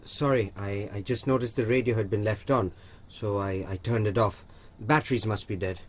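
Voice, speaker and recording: Radio sidekick for a young male actor: inquisitive, understated junior investigator, slightly deep, roughened voice. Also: fairly even intonation, moderate pace, lightly acted. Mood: sheepish. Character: young, deferential detective's assistant, curious and dry. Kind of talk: radio drama acting.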